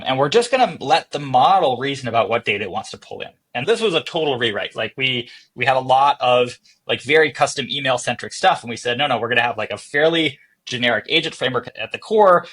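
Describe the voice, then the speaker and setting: A man with a slightly breathy, husky voice and a middling pitch talks as a podcast guest. He explains technical ideas casually and conversationally, like a founder walking someone through his work. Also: animated, fast, fairly bright tone. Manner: explanatory, casual, acting out thoughts as quotes. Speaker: interview guest, software company founder and chief executive.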